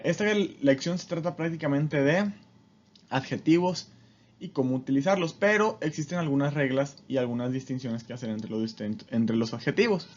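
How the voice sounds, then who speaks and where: A man's voice: medium pitch, highs cut off. A man giving an informal, explanatory language lesson as a teacher, with a didactic manner and frequent short check-in questions.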